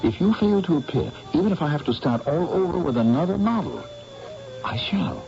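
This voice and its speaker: An actor with an English accent voicing a serious, earnest painter who speaks firmly and formally, in a 1970s radio drama. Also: male voice, on the high side, animated, a little fast, gravelly, mellow, faint music behind. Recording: dull old radio broadcast with faint hiss.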